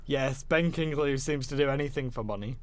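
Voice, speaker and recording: A man's voice: medium pitch, fairly quick, no echo. A man co-hosting a podcast, talking casually and emphatically as an opinionated fan, with some swearing. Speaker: podcast co-host. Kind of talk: casual film discussion.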